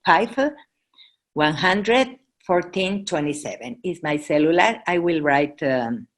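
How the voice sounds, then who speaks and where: A woman with a Mexican accent, a native Spanish speaker, giving an informal presentation and halting as she searches for English words. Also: fairly low pitch, animated. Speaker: Red Cross presenter.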